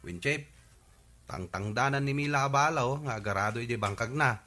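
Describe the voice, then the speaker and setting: A man playing an excitable villager who eagerly reports on the neighbors in a radio comedy drama, with an Ilocano accent. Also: mid-range voice, fairly quick, a little hiss or hum, natural voice.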